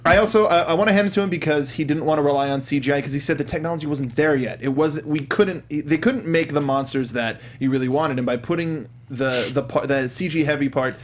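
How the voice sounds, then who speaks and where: A man's voice: fairly high pitch, fast talker, hardly any pauses, slight background noise. A young man hosting a casual panel discussion in a studio, with some swearing. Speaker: talk show host. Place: small indoor podcast studio. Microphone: close desk microphone with headset monitoring.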